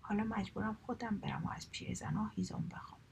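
A woman's voice: mid-range voice, creaky, darkish tone, close to an everyday voice. A woman whispering the part of a rude, sulky young woman who always complains, in a storybook reading.

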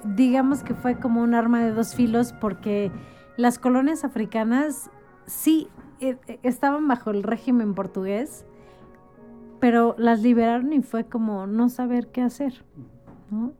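A woman's voice: fairly high pitch, steady tone, a little slow, warm tone, faint music behind. A woman talking as a guest in a casual conversation, explaining things like an informal storyteller and often checking for agreement. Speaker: interview guest.

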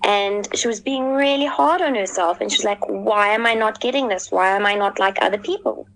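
A woman's voice: mid-range voice, moderate pace, a bit bright, low-quality recording. A woman and mother speaking as a panelist in a casual podcast discussion, earnest and thoughtful, with many fillers.

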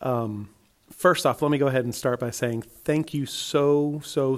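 A man's voice: medium pitch, darkish tone. A man from Alabama with a Southern accent, chatting through a solo podcast in a friendly, rambling way, his voice worn from a tiring week. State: tired, voice worn. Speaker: podcast host.

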